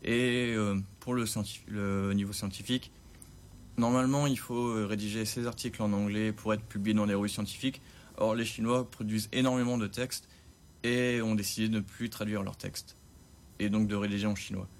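A young man in his twenties, a student, talking through his reasons at a measured pace and stumbling on a few words. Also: lowish voice, little pitch movement, slight background noise. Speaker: student, interviewee. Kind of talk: prepared testimony.

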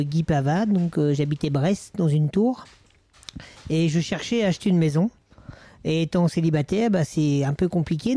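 A man's voice: high-pitched, warm tone, no room sound. A man from Maine-et-Loire, a guest in an interview recorded in a village bar, speaking hesitantly with many fillers and restarts. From Maine-et-Loire, France. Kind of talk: casual interview.

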